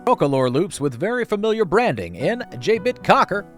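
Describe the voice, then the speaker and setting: A man's voice: high voice, sing-song, moderate pace, fairly bright tone, faint music behind. A man delivering comedic scripted narration for an online video, with wry, playful and self-deprecating asides. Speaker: YouTube video essay narrator.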